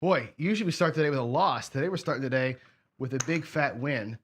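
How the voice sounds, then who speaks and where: A man hosting a craps strategy show, hoarse and sniffly, chatting casually as he thinks aloud and counts out chips and bets. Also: mid-range voice, quick pace. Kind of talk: casual play-along commentary.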